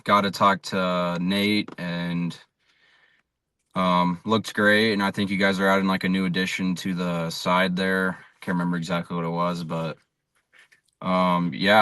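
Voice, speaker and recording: A man's voice: low-pitched, level tone, a little slow, bright, forceful tone, smooth. A young man from South Dakota with an American accent, giving plain-spoken, casual answers as a baseball player being interviewed. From South Dakota, USA. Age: young adult. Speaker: college baseball pitcher, interview guest.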